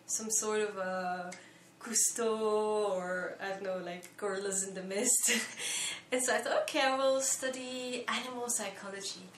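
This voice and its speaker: A woman in her late twenties from Belgium, answering interview questions in a thoughtful, measured way with frequent pauses. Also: mid-range voice, distant mic. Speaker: interviewee, volunteer. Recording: echoey room, faint background noise.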